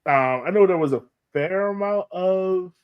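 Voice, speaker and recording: A man's voice: sits high, measured pace, smooth, highs cut off. American man chatting casually about soccer. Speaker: podcast host. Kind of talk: casual soccer podcast chat.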